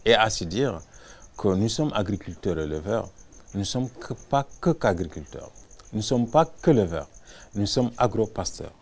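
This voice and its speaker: A man's voice: fairly low pitch, lively intonation, normal speed, a little mellow. A man explaining reflectively in an interview, repeating and building his phrases as he goes.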